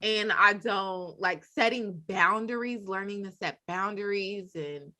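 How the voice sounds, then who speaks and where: A woman in her thirties co-hosting a podcast, telling stories in an expressive, animated way. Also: medium pitch, a little slow. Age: 30s. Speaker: podcast co-host. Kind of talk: casual podcast chat.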